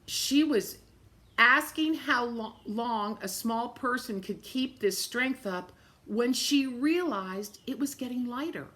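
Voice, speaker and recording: A woman reading a children's story aloud in an expressive, dramatic way, with chatty asides spoken directly to young listeners. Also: a little high, slow. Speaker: story-time reader for children.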